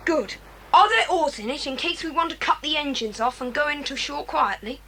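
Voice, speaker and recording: A woman's voice: animated, a bit bright. A woman playing a bold, decisive boy who leads other children in an audiobook drama. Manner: brisk, commanding, earnest. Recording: hissy, rumbling 1980s cassette transfer.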